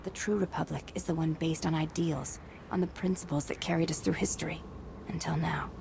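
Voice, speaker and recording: A woman's voice: low voice, steady tone, fairly quick, gravelly, a little hiss or hum, rough recording. A woman playing an earnest, secretive ex-military diplomat and zealous patriot in a video game, speaking persuasively and solemnly. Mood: grave, urgent. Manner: persuasive, solemn, hushed at times.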